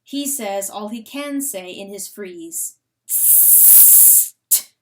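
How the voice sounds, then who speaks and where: A woman's voice: mid-range voice, lively intonation, takes it slowly, background noise. A woman giving a theatrical, emphatic dramatic reading of a story as an audiobook narrator. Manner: theatrical, emphatic, rhetorical questions.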